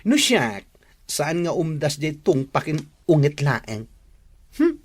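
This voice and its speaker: A woman's voice: low voice, very animated, takes it slowly, darkish tone, slightly rough, heavily acted. A woman playing a blunt, exasperated young friend, sassy and emphatic, in an Ilocano radio drama. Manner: sassy, emphatic, mixes in English.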